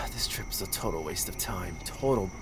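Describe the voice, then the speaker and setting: Low-voiced, irritable man acting as a skeptical scientist. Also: animated, normal speed, noisy background. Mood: irritated, scornful. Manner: sarcastic, dismissive. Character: Doctor Post, irritable skeptical male scientist. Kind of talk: audio drama acting.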